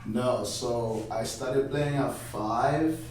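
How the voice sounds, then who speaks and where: A man's voice: mid-range voice, extremely slow, darkish tone. A young adult man from Malawi giving casual, conversational answers as an interview guest. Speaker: interview guest, former tennis player. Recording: very echoey room, distant mic, some noise.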